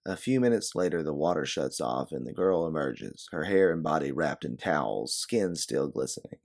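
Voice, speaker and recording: A man's voice: very deep voice, expressive, moderate pace, creaky, darkish tone. A man reading a scripted second-person story in a dramatic narrating style. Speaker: narrator of an original audio story.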